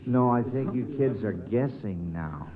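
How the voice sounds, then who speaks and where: A man's voice: on the low side, expressive, unhurried, narrow, tinny audio, a crowd in the background. A man hosting a quiz show in a 1950s radio style, heard on an old recording.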